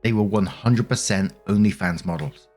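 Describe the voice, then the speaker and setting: A man's voice: fairly low pitch, moderate pace, a little mellow, soft background music. A man narrating online posts, reading steadily and adding brief casual asides. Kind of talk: reading posts aloud with commentary.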